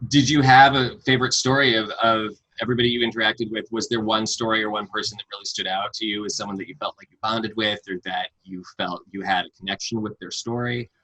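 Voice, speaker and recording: Man, interviewer, friendly and earnest, bright voice, video call. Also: on the low side, steady tone, fast, hardly any pauses, low-quality recording. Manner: friendly, earnest, many fillers. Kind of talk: remote interview.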